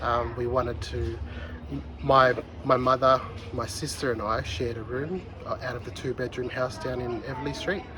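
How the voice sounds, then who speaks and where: Young artist guy talking thoughtfully outside on a street, lots of ums, clear outdoor noise in the background. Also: medium pitch, fairly even intonation, normal speed. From New South Wales, Australia. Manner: earnest, reflective, with frequent ums and uhs. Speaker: contemporary artist. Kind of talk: artist talking about his work to camera.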